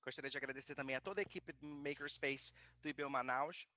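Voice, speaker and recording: A man's voice: medium pitch, quick pace, pushed and bright, dry recording. A man hosting a live online workshop from a makerspace. He gives formal thanks and introductions and reads the guest's bio in Portuguese and English. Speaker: workshop host.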